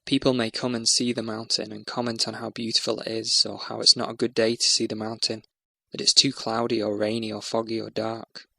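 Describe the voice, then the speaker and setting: Male meditation narrator: bright, crisp-edged delivery with creaky fry, soothing and measured. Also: lowish voice, no echo. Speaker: meditation guide.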